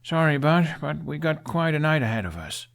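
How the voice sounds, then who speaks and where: A man's voice: a little high, sing-song, moderate pace, vocal fry, pitched above the performer's own voice. A man voicing a confident, boyish young dragon rider in an audiobook, speaking in an earnest, easygoing way. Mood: casual, apologetic. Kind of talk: audiobook character dialogue.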